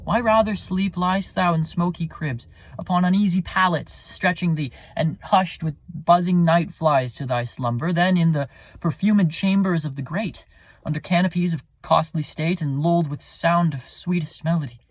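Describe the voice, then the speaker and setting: A man's voice: on the high side, moderate pace, dry recording, lightly acted. A man acting a weary, sleepless king, pleading theatrically in a Shakespearean soliloquy. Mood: anguished, indignant. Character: weary, sleepless, troubled old-world king.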